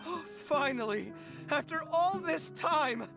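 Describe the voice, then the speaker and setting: Seeking an earnest young genius in a game, very animated and dramatic, music underneath. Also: male voice, very high-pitched, slow, gravelly, exaggerated voice. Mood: elated. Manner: expressive, conversational, self-justifying. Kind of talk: English video game voice acting. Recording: music behind the voice. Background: background game music.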